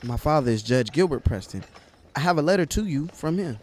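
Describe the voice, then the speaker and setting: Man as an anxious, higher-pitched young city lawyer. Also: lively intonation, fairly quick, very noisy, close to an everyday voice. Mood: nervous. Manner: hesitant, deferential. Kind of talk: audio play acting.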